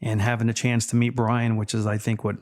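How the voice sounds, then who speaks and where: A man in his fifties from Texas, Spanish his first language, talking in a measured, reflective way as a podcast guest. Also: lowish voice, level tone. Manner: measured, reflective, with frequent fillers. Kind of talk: casual interview chat.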